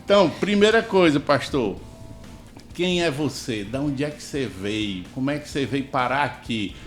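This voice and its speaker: A man's voice: mid-range voice, lively intonation, moderate pace, faint music behind. A man hosting a casual church talk show, playful and teasing as he hypes up his guest. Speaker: host.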